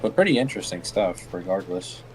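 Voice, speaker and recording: A man's voice: deep voice, animated, a little fast, over music, poor audio. A man chatting casually as co-host, laid-back and joking, with many "uh"s and "yeah"s. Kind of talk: casual chat.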